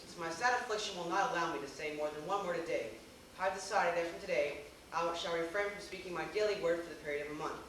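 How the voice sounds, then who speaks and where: A man's voice: fairly high pitch, fast, fairly bright tone, natural voice. A man playing a fussy, deferential tutor in an amateur stage play, speaking in a formal, declamatory way. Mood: formal, pleading. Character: fussy, deferential male tutor to a young master. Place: theater stage, recorded from afar. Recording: echoey noisy theater, distant microphone.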